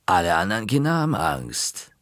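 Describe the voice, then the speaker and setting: Man doing a slow, stern old-school librarian. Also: wildly swooping pitch, a bit bright, no echo, clean studio sound, exaggerated voice. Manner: slow, low, commanding. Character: stern formidable woman librarian.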